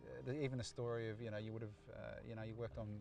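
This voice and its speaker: A man's voice: on the low side, little pitch movement, very fast, creaky, darkish tone. An Australian man interviewing casually, with loose phrasing and plenty of "you know". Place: outdoors by a lake.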